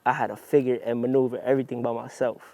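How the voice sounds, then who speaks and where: Fast-talking young man from Brooklyn. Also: medium pitch, flat delivery, a little mellow, no room sound. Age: young adult. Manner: brisk, calls people "bro". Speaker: student panelist. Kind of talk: casual group discussion.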